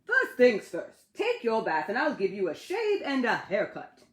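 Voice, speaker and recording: An exuberant male imaginary friend from a storybook, acted out by a woman. He is playful, booming and exaggerated, leaping around in pitch. The room echoes around the voice. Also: unhurried. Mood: breezy, confident. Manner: excitable, exaggerated. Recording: roomy, echoey sound.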